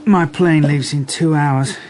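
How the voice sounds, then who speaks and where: An actor playing a reserved, methodical and quietly humane police detective in a radio drama, speaking in a terse, understated way. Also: male voice, on the high side, steady tone, unhurried, background noise, lightly acted. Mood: tired, relieved.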